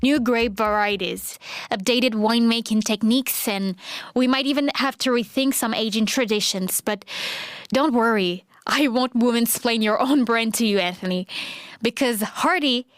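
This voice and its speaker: A woman's voice: a little high, moderate pace, dry recording, studio quality, lightly acted. A woman playing a modern, breezy podcast host, explaining things in a casual, wry, emphatic way in a scripted conversational show. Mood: assured, breezy. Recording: light music bed behind voice.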